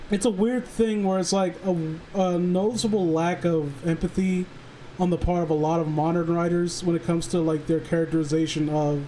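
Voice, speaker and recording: A man chatting casually on a stream, halting and rambling as he earnestly works through his thoughts. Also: sits high, dark tone, low-quality recording. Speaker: stream participant. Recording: faint background noise.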